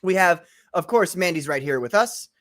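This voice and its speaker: Man, host, livestream chat, chatty, laughs often, somewhat high voice. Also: expressive, normal speed, fairly bright tone. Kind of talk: casual live-stream chat.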